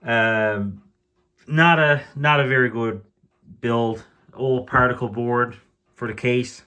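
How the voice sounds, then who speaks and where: A man's voice: medium pitch, fairly even intonation, slow, bright, forceful tone, clean tone, dull audio. A man casually showing and comparing old-style radios at home, speaking hesitantly with many fillers and restarts, from behind the camera. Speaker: radio collector. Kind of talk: casual show-and-tell commentary. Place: indoors, home room with radios on floor.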